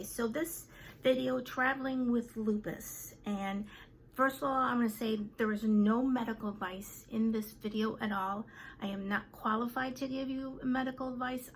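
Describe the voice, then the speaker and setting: Vlogger, woman, 50s, talkative and unscripted, somewhat high voice. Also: slight background noise, rough recording. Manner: chatty, with many fillers and trailing pauses. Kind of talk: casual chat to camera.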